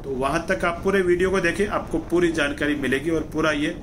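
A man's voice: fairly high pitch, fairly quick. A man narrating his travel vlog from a moving bus, talking loosely and informatively with restarts as he explains the place. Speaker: travel vlogger. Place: moving bus on a coastal road. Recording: noisy bus ride.

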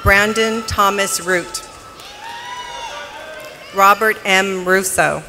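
An announcer reading formally at a graduation ceremony in a large arena, with measured, deliberate enunciation. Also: medium pitch, pushed and bright, soft background music. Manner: measured, deliberate enunciation with pauses between names. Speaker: commencement name announcer. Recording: noisy arena PA with crowd behind. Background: crowd noise.

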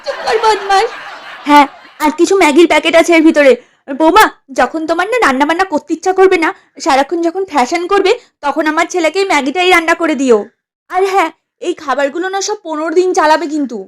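A woman's voice: very high-pitched, fast. A woman voicing a bossy, sarcastic mother-in-law in a comedy cartoon, with a nagging, theatrical delivery. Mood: bossy, gloating. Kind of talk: exaggerated comedy cartoon acting.

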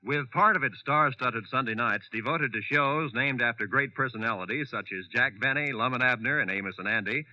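A man's voice: medium pitch, a little fast, hardly any pauses, no echo. An American man announcing in a formal, scripted 1940s radio style. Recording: dull, dry old radio recording, faint music.

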